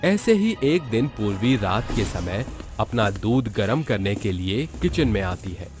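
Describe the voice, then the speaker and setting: Animated low-voiced male storyteller. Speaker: narrator. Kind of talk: dramatic scripted story narration for a moral horror cartoon. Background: background music.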